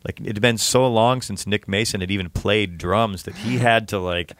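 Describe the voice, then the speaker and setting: A man's voice: on the low side, moderate pace, fairly bright tone, slight background noise. A man hosting a casual band interview, chatting in a rambling way. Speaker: interviewer and host.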